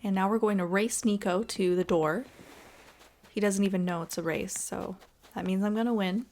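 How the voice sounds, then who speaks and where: A woman's voice: medium pitch, steady tone, moderate pace, a little mellow, a little hiss or hum. A woman hosting a gaming video with casual, chatty commentary, talking to viewers in a friendly, direct way.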